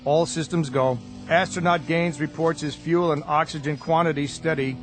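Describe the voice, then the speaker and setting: An actor playing a brisk, procedural male mission control announcer, clipped and formal, in a radio drama. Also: on the high side, steady tone, music in the background, close to an everyday voice. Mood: formal, controlled.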